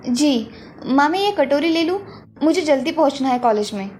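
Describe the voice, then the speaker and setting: A woman's voice: high-pitched, lively intonation, moderate pace, darkish tone. A woman playing a college-age elder sister, dutiful, prickly yet protective, in a cartoon story. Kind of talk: Hindi cartoon moral story dialogue. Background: music.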